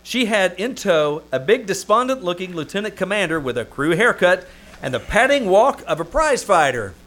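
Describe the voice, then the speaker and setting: A man's voice: high voice, normal speed. A man playing an exasperated, wisecracking studio minder who narrates his own story, in a live old-time radio comedy. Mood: wry narration. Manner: excitable, sardonic. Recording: live stage performance, background noise.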